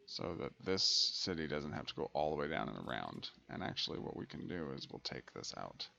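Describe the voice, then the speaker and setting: A man's voice: extremely low pitch, sing-song, a little fast, vocal fry, pushed and bright, muffled recording, a little hiss or hum. A man giving casual let's play commentary as a gaming host, thinking aloud and muttering to himself.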